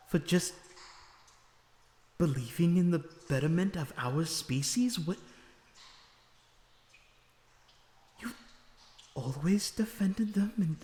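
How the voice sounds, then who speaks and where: A man playing a lonesome, remorseful, gentle vampire prisoner in an audio roleplay, speaking in an earnest, halting, emotional way. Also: medium pitch, lightly acted. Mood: concerned, shocked. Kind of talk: dramatic audio roleplay acting. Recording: echoey reverberant dungeon-like sound, background noise.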